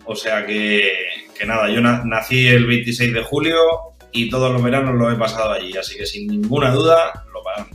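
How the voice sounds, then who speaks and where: A man's voice: on the low side, brisk, roomy sound, faint music behind. A man in his forties from the Murcia region, chatting casually and fondly about beaches over a video call with a headset. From Murcia region, Spain. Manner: relaxed, reminiscing, chatty. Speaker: interviewee. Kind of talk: casual personal chat. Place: small room indoors. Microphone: headset mic on a video call.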